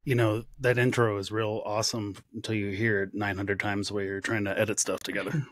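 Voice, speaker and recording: A man's voice: on the low side, flat delivery, fairly quick, no echo, studio quality, soft background music. A man hosting a podcast in a casual, joking way, with wry teasing and mild swearing. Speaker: podcast host. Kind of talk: casual joking group chat.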